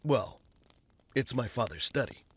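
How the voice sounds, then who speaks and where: An actor playing a flippant, self-mocking rich playboy in an audio drama. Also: male voice, medium pitch, expressive, unhurried, fairly bright tone, husky. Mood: breezy. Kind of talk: fan audio drama acting.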